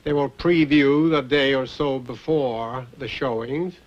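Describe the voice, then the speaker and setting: An older man reminiscing in a documentary interview, speaking in a measured, formal way. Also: mid-range voice, fairly even intonation, lo-fi sound. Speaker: film composer interviewed as a witness. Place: interview recorded in New York.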